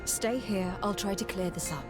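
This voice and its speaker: A woman's voice: mid-range voice, moderate pace, over loud music, natural voice. A woman playing a composed, courteous noble diplomat in a video game, speaking politely and formally. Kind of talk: video game dialogue acting.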